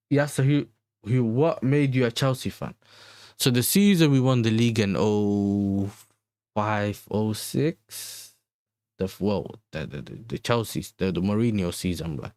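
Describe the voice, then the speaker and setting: A man with a London accent talks in a slightly low voice with a dry, close sound. He hosts a casual livestream, slangy and conversational, often circling back over his own phrases as he chats with viewers. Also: a little mellow, dry recording. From Netherlands. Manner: slangy, conversational, repeats himself. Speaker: livestream host and football fan.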